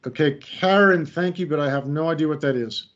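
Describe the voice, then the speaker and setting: A man's voice: a little high, a little fast, fairly bright tone. A middle-aged man leading an online creativity workshop, chatty and self-deprecating, with lots of fillers and restarts. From United States. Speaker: creativity trainer and workshop facilitator. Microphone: video call. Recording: slightly dull video call audio.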